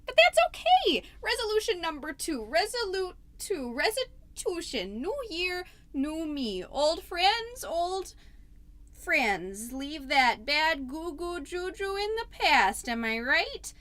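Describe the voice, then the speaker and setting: A woman's voice: very high voice, big pitch swings, fairly bright tone, no room sound, full character voice. A woman playing a folksy, rambling suburban PTA mom giving an over-polite, theatrical comedy monologue as a podcast host. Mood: peppy, defiant. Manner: chatty, over-polite, self-interrupting, theatrically drawn-out phrases.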